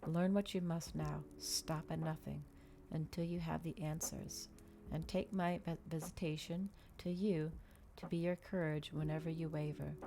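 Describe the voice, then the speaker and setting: A woman voicing a solemn, eloquent male vampire in a measured, formal storybook reading. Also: deep voice, steady tone, creaky, background noise. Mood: grave, commanding.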